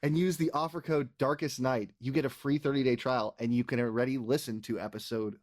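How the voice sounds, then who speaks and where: A man's voice: mid-range voice, steady tone, a little fast. A man hosting a podcast in casual chat, speaking enthusiastically about his own show. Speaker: podcast creator and host.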